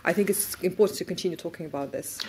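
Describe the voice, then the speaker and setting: A woman in her forties speaking as a guest on a conference stage, animated as she makes her points. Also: on the low side, racing, a little hiss or hum. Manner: animated, gestures as she talks. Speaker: panel guest. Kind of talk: fireside chat on stage. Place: conference stage before an audience. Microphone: lapel mic.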